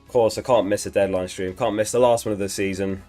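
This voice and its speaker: A man hosting a casual live stream, a little hoarse from losing his voice, chatting and reading out viewer messages. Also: lowish voice, steady tone, fast, mellow, soft background music. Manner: reads out chat messages, chatty and upbeat. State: slightly hoarse, lost voice. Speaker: livestream host.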